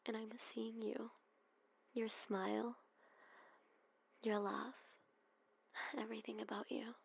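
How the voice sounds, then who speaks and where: A woman doing a whispered ASMR roleplay, speaking in soft, breathy whispers with frequent sighs. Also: on the high side, level tone, slow pace.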